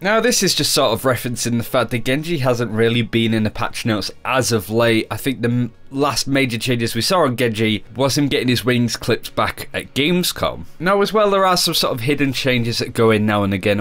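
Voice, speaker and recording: A man's voice: mid-range voice, lively intonation, a little fast, a bit bright. A man hosting a gaming video with casual, informal, conversational commentary. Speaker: gaming channel host. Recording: music behind the voice. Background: faint background music.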